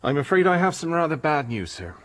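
An actor playing a polite, matter-of-fact policeman in a radio drama, deferential and deliberate. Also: a little high, very animated. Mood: solemn, official.

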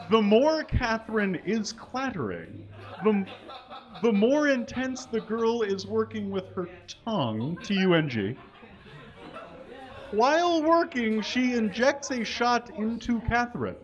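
A man's voice: high voice, highs cut off. A man giving a live comedic dramatic reading into a stage microphone, mock-earnest and exaggerated, spelling out mistakes and punctuation as he goes. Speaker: live comedy show performer reading fan fiction. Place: small indoor stage with curtains, live audience. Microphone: microphone on a stand.

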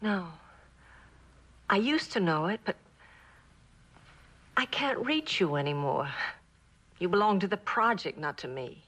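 An actress playing a lonely, neglected wife in a mid-century television drama, plaintive and halting, with a bitter edge. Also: female voice, mid-range voice, lively intonation, a bit bright. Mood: hurt.

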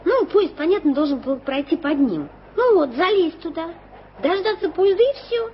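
A high, bright-sounding girl of about twelve, bold yet fumbling, tripping over her words and catching her breath. This is radio-play acting from an old, slightly dull studio recording with a faint hiss behind it. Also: expressive, moderate pace. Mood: breathless.

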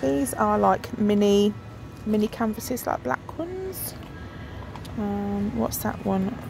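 Chatty gravelly woman shopping. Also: medium pitch, lively intonation, slow pace, a little mellow, faint music behind. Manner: chatty, thinking aloud with many ums. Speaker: shopping vlogger. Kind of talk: casual shop-with-me commentary. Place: inside a large discount shop. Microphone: phone held while filming. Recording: noisy shop ambience.

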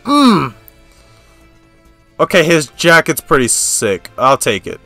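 Young male reaction host, lively and swooping pitch, slangy exclamatory gamer talk, faint music underneath. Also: normal speed, no echo. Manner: slangy, exclamatory, repeats himself. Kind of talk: casual excitable gamer reaction commentary.

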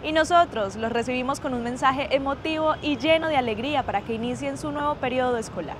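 A woman's voice: sits high, fast. A woman in her twenties with a Colombian accent, presenting scripted news to camera outdoors. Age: 20s. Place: outdoors in a city plaza. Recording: clear outdoor city and traffic noise.

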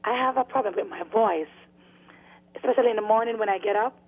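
A hoarse woman calls in to a live TV show and speaks hesitantly. Her voice comes through a tinny phone line and sounds husky, at an ordinary middle pitch. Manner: halting, hesitant. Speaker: caller.

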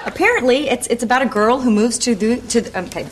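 A woman's voice: a little high, lively intonation, quick pace, natural voice. Woman acting chatty sitcom woman, noisy studio. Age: 30s. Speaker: sitcom actor. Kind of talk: sitcom dialogue with flubbed takes. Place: indoor TV studio set. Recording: very noisy studio with audience din.

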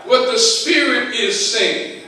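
An older man with a Southern US accent preaching a sermon as pastor, in a drawn-out call-and-response style, into a handheld mic in a large church. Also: very high voice, sharp tone. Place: large church sanctuary with congregation. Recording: very echoey church hall, heard from a distance. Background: congregation calling back.

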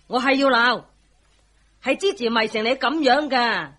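A woman's voice: a little high, unhurried, bright, forceful tone. An actress with a Hong Kong Cantonese accent playing a scolding, sarcastic middle-aged mother in a radio drama.

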